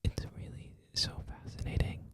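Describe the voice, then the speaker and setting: An ASMR creator whispering a loose, rambling talk, full of "like" and "um" fillers. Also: normal speed. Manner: soft whisper throughout, frequent "like" and "um" fillers.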